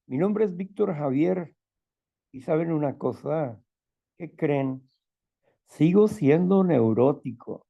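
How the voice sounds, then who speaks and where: A man with a strong, loud voice who sounds stern without being angry, leading a spiritual talk and prayer. He repeats phrases and often checks in with "¿no?" and "¿verdad?". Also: a little high, expressive, slow pace, vocal fry, pauses often, highs cut off. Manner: fervent prayer calling on the Father. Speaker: group leader.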